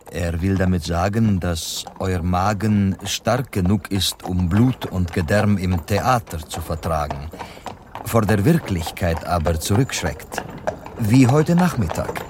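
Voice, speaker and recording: An actor playing an amused, courteous Spanish interpreter in a German radio play, explaining in a measured way and mixing in Spanish phrases. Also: male voice, low-pitched, background noise.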